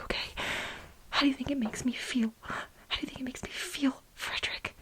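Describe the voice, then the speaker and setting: A woman's voice: high-pitched, natural voice, rough recording. Woman acting a whispering, aggrieved female bioengineer. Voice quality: breathy, mostly whispered. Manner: emphatic, halting, repeats words for dramatic stress. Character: frantic, aggrieved overworked female bioengineer pleading with her boss. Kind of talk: soft-spoken ASMR role play.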